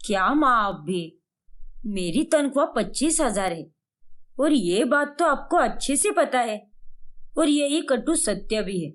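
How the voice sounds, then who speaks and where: A woman's voice: fairly high pitch, expressive, a little slow. A woman voicing a confident young husband, casual and protesting, in a cartoon story. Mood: defensive. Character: confident, easygoing young husband and indulged son.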